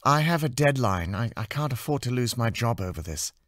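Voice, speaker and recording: A man's voice: mid-range voice, animated, a little fast, fairly bright tone, no room sound, studio quality, voice pushed up, natural voice. A man voicing a tired, polite woman artist under pressure in an audiobook, hesitant and restrained. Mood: weary.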